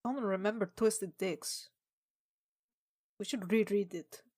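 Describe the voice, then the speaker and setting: A woman's voice: mid-range voice, a little slow, darkish tone, no room sound, studio quality. A woman trading casual improvised banter as a player on a tabletop role-playing podcast.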